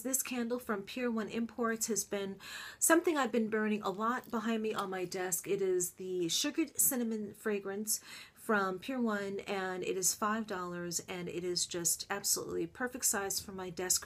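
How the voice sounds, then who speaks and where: A middle-aged woman vlogger, congested, chatting casually through her favorite beauty products with lots of gushing and little ums. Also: medium pitch. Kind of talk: casual favorites review to camera.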